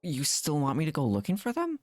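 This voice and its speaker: A man's voice: on the high side, huge pitch swings, a little fast, no echo, studio quality. A man streaming a game, chatting casually, musing aloud in questions and reading dialogue choices out. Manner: informal, muses aloud with questions.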